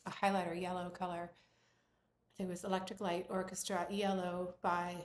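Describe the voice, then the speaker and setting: A woman in her forties or fifties from Bermuda, hosting a knitting podcast in casual chat, her voice a little croaky and her talk rambling with lots of fillers. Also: lowish voice, little pitch movement, moderate pace, roomy sound, a little hiss or hum. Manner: chatty, rambling with many fillers. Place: room at home with doors closed.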